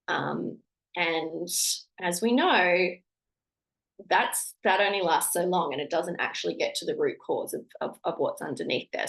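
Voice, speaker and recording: Croaky, congested woman host. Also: on the low side, low-quality recording. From Australia. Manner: warm encouraging tone with filler-heavy restarts. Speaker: podcast host. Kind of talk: solo podcast monologue.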